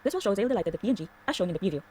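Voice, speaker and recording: A woman delivers scripted instructional narration at a very quick pace. She explains each step in an orderly way as a tutorial narrator, at a middling pitch. A faint noise runs underneath. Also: lively intonation, darkish tone.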